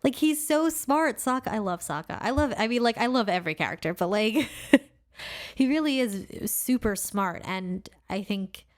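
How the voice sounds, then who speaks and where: A woman's voice: on the high side, animated, moderate pace. A woman co-hosting a podcast in casual chat, talking eagerly with lots of filler words. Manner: enthusiastic, heavy use of "like" and "really cool". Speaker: podcast co-host.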